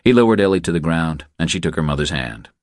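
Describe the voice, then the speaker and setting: Very deep-voiced man narrating a thriller. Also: expressive, fast talker, no echo, studio quality. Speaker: audiobook narrator.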